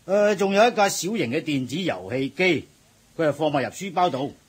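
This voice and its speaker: A bossy shop manager, kinda husky, higher voice, blustery radio play. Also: male voice, very animated, fairly quick. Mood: explaining. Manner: loud, bossy, scolding. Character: blustering middle-aged supermarket manager. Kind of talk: 1980s radio drama acting.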